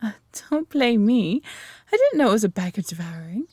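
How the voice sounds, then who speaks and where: A woman's voice: medium pitch, big pitch swings, moderate pace, darkish tone, slightly rough, dry recording, clean studio sound. A woman with an English accent playing a friendly, adventurous elf archer in a roleplay audio drama, talking conversationally to the listener. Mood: friendly, chatty.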